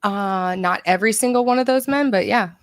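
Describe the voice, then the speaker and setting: A woman's voice: mid-range voice, fairly quick, no room sound, clean studio sound. A woman in her thirties, a podcast panel guest, answering in a self-assured, direct way. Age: 30s. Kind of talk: casual panel chat.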